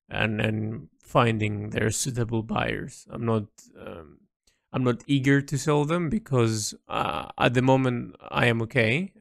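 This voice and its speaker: A man recording a reflective, unscripted audio diary, thinking aloud haltingly with repeats, in a creaky voice. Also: medium pitch, no echo, clean studio sound. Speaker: painter recording an audio log.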